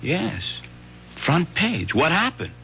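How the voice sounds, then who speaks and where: A man's voice: high-pitched, huge pitch swings, takes it slowly, bright, forceful tone, breathy edge, heavily acted. An actor playing a hesitant, loyal young man, a student son, in an old radio drama, sounding evasive. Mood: uneasy, evasive. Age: young adult. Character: uneasy, loyal student son, about twenty. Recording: muffled, hissy old radio recording.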